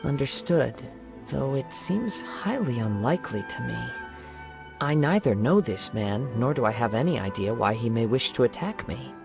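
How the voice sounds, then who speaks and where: An actor playing a calm, courteous young samurai in a game, speaking in a formal, soft-spoken way. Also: male voice, medium pitch, normal speed, creaky. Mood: composed. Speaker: wandering samurai. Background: game music.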